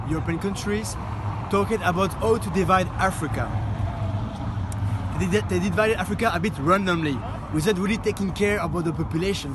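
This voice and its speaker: High-voiced man guiding a street tour. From Belgium. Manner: earnest, explanatory, addresses a group. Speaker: tour guide. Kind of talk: guided walking tour talk. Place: outdoors on a city street. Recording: loud outdoor street noise. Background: loud city traffic and street ambience.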